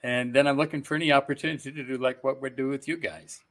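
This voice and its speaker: A man's voice: mid-range voice, fairly even intonation, fast, a bit bright. An older man chatting casually as a guest actor in an interview, easygoing and given to chuckling. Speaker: voice and stage actor, podcast guest.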